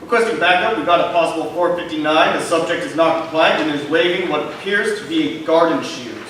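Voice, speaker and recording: Man acting as a formal stern cop, fairly high voice, theater reading, very echoey, far from mic. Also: a little fast, a bit bright, lightly acted. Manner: formal, procedural. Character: stern, by-the-book police officer radioing for backup. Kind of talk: staged reading of a play. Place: theater hall, recorded from the audience. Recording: roomy, distant stage recording with faint noise.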